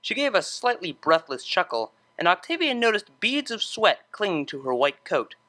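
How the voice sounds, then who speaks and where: An audiobook narrator reading a scripted story in a bright, forward voice. The pitch sits mid-range and moves expressively across the sentences. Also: big pitch swings, moderate pace.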